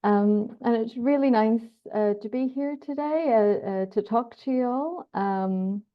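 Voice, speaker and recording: A woman's voice: a little high, unhurried, muffled recording. A middle-aged woman with a Northern Irish accent, giving an informal personal presentation as a guest academic speaker. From Northern Ireland.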